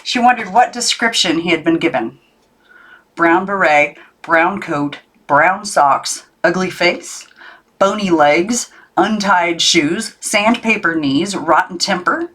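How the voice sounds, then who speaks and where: A woman reading a children's novel aloud as narrator, in an expressive storytelling style. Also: on the low side, takes it slowly, breathy edge. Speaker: audiobook narrator.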